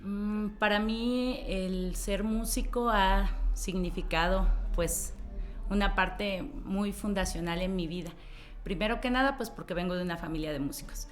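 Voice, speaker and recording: A woman's voice: mid-range voice, measured pace. A woman with a Mexican accent speaking reflectively about her life in an interview. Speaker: musician interviewee. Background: faint music.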